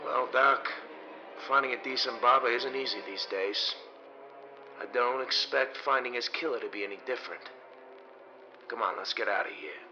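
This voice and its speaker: A man's voice: medium pitch, normal speed, long pauses, lo-fi sound, noisy background, natural voice. A man playing an earnest, anxious and wry private detective in a 1930s radio mystery, exclaiming urgently and stammering when evasive.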